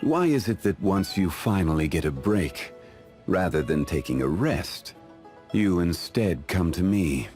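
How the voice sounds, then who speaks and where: A man playing an ancient, calm, dignified gentleman in a video game, speaking in a formal, measured, reflective way. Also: low voice, soft background music. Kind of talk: scripted video game character voice lines.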